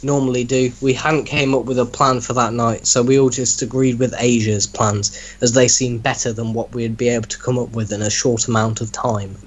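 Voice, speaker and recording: A man's voice: mid-range voice, flat delivery, moderate pace, hardly any pauses. A man giving a dramatic reading of an amateur story, breaking off into casual, mocking banter. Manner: breaks off to mock the text he reads. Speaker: reader in an online reaction group.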